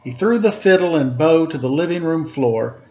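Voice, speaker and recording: Lively man reading a children's story aloud. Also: on the high side, normal speed. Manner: expressive, playful sing-song delivery. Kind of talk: animated read-aloud storytelling with rhyming verse. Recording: slightly dull, lo-fi home recording.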